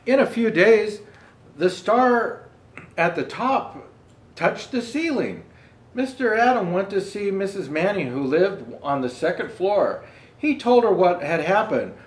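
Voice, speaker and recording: A man reading a children's storybook aloud as Santa, reading haltingly and pausing for asides to show the pictures. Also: sits high, echoey room. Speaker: storyteller presenting as Santa Claus.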